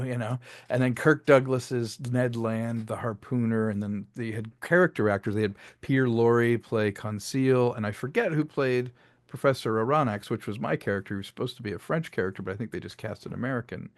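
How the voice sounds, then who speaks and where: A man's voice: medium pitch, no room sound, studio quality. A man being interviewed as a filmmaker, chatting casually and telling stories with frequent check-in questions. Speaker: filmmaker and audio drama creator being interviewed.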